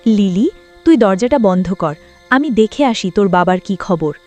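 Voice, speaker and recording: A woman's voice: medium pitch, expressive, a little slow, warm tone, soft background music, natural voice. A woman playing a practical, caring village wife and mother in a cartoon, chiding yet consoling. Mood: worried. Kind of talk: cartoon dialogue and story narration.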